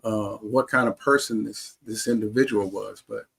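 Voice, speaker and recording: American guy, creaky gravelly voice, casual interview storytelling, repeats himself and restarts a lot. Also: on the low side, fairly even intonation, moderate pace, fairly bright tone. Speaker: interview guest, heart transplant recipient.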